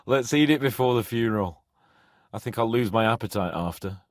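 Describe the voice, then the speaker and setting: A man voicing a spirited young nobleman in a video game, with an expressive, irreverent, bossy but loyal manner. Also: lowish voice, brisk, no echo, studio quality, lightly acted. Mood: grave, subdued. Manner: expressive, irreverent, swearing freely. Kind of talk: video game character voice acting.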